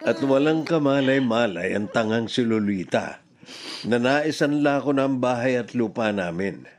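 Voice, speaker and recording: A man's voice: mid-range voice, lively intonation, measured pace. A man with a Filipino accent playing a selfish, scheming, defiant middle-aged father in a radio drama. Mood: gloating, scheming.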